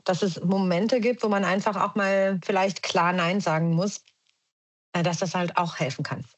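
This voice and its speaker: A woman's voice: fairly low pitch, fairly even intonation, moderate pace. A woman with a German accent, a podcast guest speaking reflectively with many restarts and fillers. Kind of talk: reflective conversational podcast talk.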